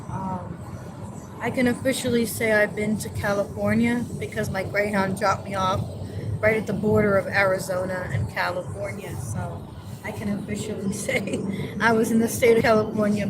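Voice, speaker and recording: New jersey woman rambling easy and grateful from a rideshare, mid pitch, loud road rumble behind. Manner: easygoing, grateful, rambling with fillers. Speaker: vlogger. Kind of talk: casual livestream chat. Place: in a car on the road. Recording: loud road noise from a car. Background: vehicle noise.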